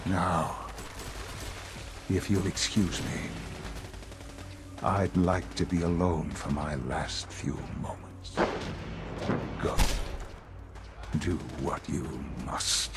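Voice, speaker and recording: A man playing a bitter, dying older father in a video game, cold and resigned, speaking in measured reproach. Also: sits low, background noise. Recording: music behind the voice.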